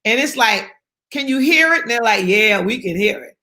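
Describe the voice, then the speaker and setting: Bright, emphatic woman speaker. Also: on the high side, animated, normal speed. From South Carolina. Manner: emphatic, repeats key phrases. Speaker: guest speaker. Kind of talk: motivational interview talk.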